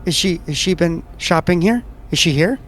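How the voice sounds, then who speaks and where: A man's voice: a little high, lively intonation, normal speed, a bit bright, slight background noise. A man playing a tabletop roleplaying game, improvising in-character dialogue in a hesitant way.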